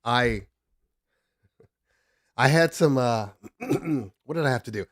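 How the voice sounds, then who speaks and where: A congested man hosting a DJ livestream from his home desk, talking in a loose, rambling way with frequent self-corrections and jokes. His mid-pitched voice sounds stuffy from just waking up. The recording is dry and close. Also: slow, fairly bright tone, no echo. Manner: rambling, self-interrupting, joking. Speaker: DJ livestream host. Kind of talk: casual livestream chat.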